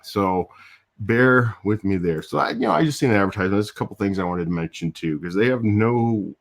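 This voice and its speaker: An older man with a low, slightly deep voice talks through a casual morning live stream. He rambles from point to point, restarts his sentences and chuckles between thoughts. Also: expressive, a little fast, creaky. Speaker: YouTube host. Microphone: different audio device that lowers voice.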